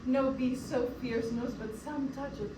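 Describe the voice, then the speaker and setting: A woman's voice: high-pitched, fairly even intonation. A young woman acting a grieving, vengeful widowed noblewoman in a declamatory, emotional classical Shakespearean stage style. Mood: defiant, scornful. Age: young adult. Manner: declamatory, emotional, slow deliberate delivery. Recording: roomy, distant mic, noisy room.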